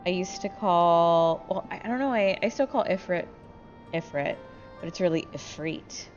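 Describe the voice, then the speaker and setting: Woman, drowsy low voice, sweary and candid gamer chat, slightly muffled recording. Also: a little fast. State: sleepy. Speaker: gamer commentating with friends. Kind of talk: casual chat over gameplay. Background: game music.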